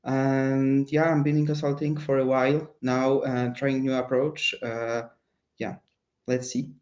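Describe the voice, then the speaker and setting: A man's voice: medium pitch, flat delivery, measured pace, a little mellow. A man from Poland, a consultant, introducing himself hesitantly on a video call. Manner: hesitant, filler-heavy. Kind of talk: casual self-introduction. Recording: dull video-call audio.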